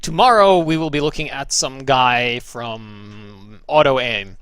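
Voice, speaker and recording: A man's voice: medium pitch, slow pace, a bit bright, smooth, no echo. A young man from Norway giving loose, profane, sarcastic commentary over gameplay replays as the show's host. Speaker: game commentator.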